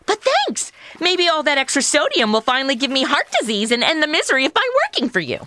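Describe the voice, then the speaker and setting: High, bright, sassy young woman. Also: wildly swooping pitch, fast, no echo, exaggerated voice. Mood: sarcastic. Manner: sarcastic, petulant, valley-girl phrasing. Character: sassy, self-absorbed modern young woman in the 1950s. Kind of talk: comedy audio drama acting.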